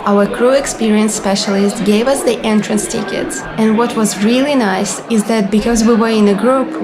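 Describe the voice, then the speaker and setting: A young woman reading scripted travel vlog narration in a clear, orderly, explanatory manner. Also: medium pitch. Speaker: vlogger and cruise ship receptionist. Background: background music.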